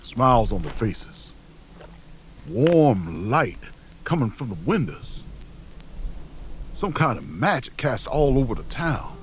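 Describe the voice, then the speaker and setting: A man acting in an audio drama as a grave, weary wanderer, narrating in halting, brooding short phrases. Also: mid-range voice, big pitch swings, noisy background. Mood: brooding, haunted. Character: grave, weary wanderer recounting a frozen ordeal.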